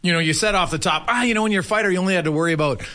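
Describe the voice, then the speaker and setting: A male sports radio host with a bright, forceful voice and a very quick pace. He chats his way through a live interview, rambling a little as he works toward each question. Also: sits high.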